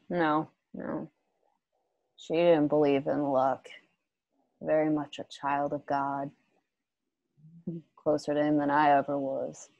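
A woman acting a low-voiced, grieving western widow. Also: little pitch movement, darkish tone, no room sound, highs cut off. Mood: wistful, tender. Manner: halting, understated, terse. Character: grieving, guarded widow in a western, quietly resolute. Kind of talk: radio play acting. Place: home, on a group video call.

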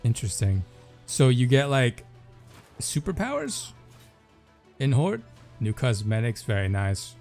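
Male gaming creator, mid-low pitched and relaxed, informal think-aloud commentary recorded in a room at home. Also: a little slow, creaky, pauses often, soft background music. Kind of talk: casual live reaction commentary.

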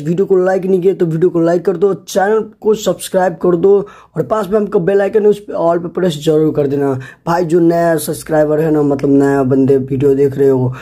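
A male gaming host with an Indian accent talks casually through his gameplay. His voice sits a little high in pitch yet sounds very dark and mellow. He chats directly to viewers and tends to repeat his phrases. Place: voice-over on a screen recording.

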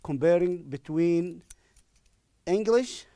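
A middle-aged man with an Arabic accent, a native Arabic speaker, teaching an English lesson deliberately and repeating phrases for emphasis. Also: on the high side, expressive, warm tone, clean tone, no echo, studio quality. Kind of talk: recorded classroom-style lesson. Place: indoor studio in front of a presentation screen. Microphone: lapel microphone.

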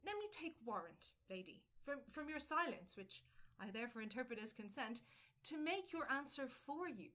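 A woman playing a bold, eloquent gallant in an early modern audio drama, wooing in measured, theatrical, courtly speech. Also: a little high, fairly bright tone. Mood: undeterred.

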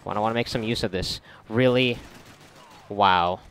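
A man giving casual, reactive commentary while gaming, sarcastic and foul-mouthed, with a gravelly voice. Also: on the low side, moderate pace, a bit bright. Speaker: gamer recording a playthrough. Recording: noisy, game gunfire behind voice. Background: video game gunfire and ambience.

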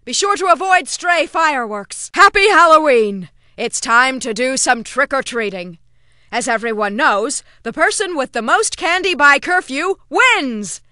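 A woman's voice: high voice, sharp tone, dry recording, studio quality, heavily acted. A woman playing a chirpy, self-important cartoon small-town mayor, announcing races in a video game with theatrical, officious pep. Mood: festive, peppy. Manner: theatrical, peppy officious delivery. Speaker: race announcer.

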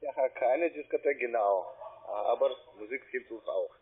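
A man with a foreign accent, not a native speaker, working as a call centre agent and giving halting, insistent instructions. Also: mid-range voice, breathy edge. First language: not German. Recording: tinny, band-limited phone line.

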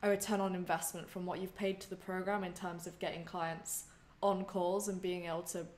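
Flat-voiced young woman asking questions. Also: on the low side, fairly quick. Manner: long rambling questions. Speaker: host. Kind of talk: casual interview questions. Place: room at home. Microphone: headphones on video call.

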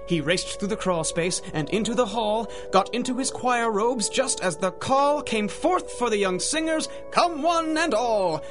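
Theatrical high-pitched male storyteller. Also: expressive, a little slow, hardly any pauses, over music. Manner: theatrical, dramatic. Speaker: narrator. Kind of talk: rhyming storybook narration for a radio drama.

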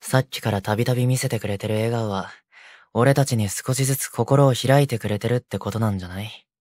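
A man playing a considerate young delinquent, the gang's calm, reassuring peacemaker, in a romantic audio drama. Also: mid-range voice, brisk, dry recording, clean studio sound, natural voice. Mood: gentle. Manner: gentle, reassuring.

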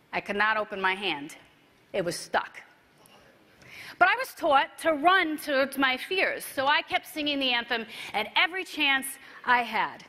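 Female motivational speaker, 30s, fairly high and bright, rehearsed keynote storytelling in a reverberant hall. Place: convention stage, indoors. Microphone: clip-on lapel mic. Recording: echoey large hall.

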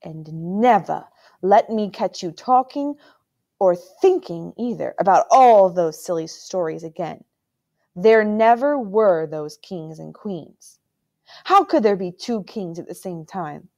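A woman's voice: medium pitch, sing-song, slow, hardly any pauses, mellow. A woman reading aloud, voicing a stern, cold king who scolds his nephew. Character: stern cold male king, menacing uncle.

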